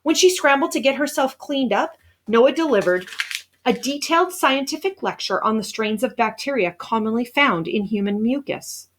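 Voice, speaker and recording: A woman reading a children's novel aloud as scripted narration, with expressive storytelling emphasis. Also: on the high side, normal speed. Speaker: storybook reader.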